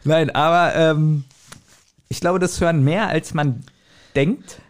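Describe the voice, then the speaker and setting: A man's voice: fairly high pitch, steady tone, slow. A man, chatting casually as a podcast co-host in a colloquial, hesitant and reflective way. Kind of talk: casual chat.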